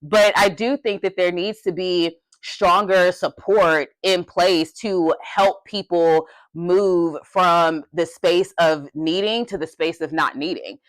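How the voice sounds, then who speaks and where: A young adult woman co-hosting a podcast, talking in an emphatic, passionate way with a loud voice that carries. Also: lowish voice, steady tone, takes it slowly, hardly any pauses, dry recording. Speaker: podcast co-host. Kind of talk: casual podcast discussion.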